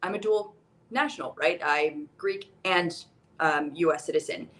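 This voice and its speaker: A young American woman, a guest on a podcast, telling stories about moving abroad in a chatty, animated way. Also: fairly low pitch, unhurried. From United States. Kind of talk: casual podcast conversation.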